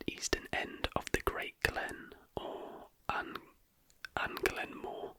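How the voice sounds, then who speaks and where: An ASMR host narrating in a soft, breathy whisper with a deliberate delivery. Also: dry recording.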